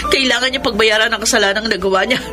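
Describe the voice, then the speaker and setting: An actress playing an anguished, resolute adult daughter in a Filipino radio drama, with an emotional delivery and a Filipino accent. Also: female voice, a little high, fast, over music, lightly acted. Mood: bitter resolve. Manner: emotional, tearful.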